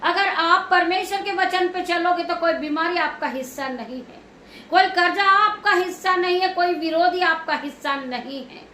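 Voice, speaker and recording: High, forceful woman preacher in echoey room. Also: moderate pace. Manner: emphatic, repetitive exhortation. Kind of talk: fervent Hindi sermon and evening prayer. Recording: echoey room with clear background noise.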